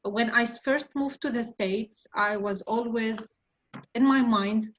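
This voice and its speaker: A woman's voice: fairly high pitch, measured pace, muffled recording, a little hiss or hum. A woman from Lebanon with a Lebanese accent, hosting an online mastermind group and sharing personal stories in a reflective way.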